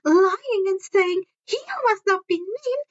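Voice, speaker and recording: A woman's voice: very high-pitched, expressive, slow, highs cut off, voice pushed up, exaggerated voice. A woman voicing a prim, smug older female school official in a sugary, condescending way for a story reading. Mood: smugly condescending.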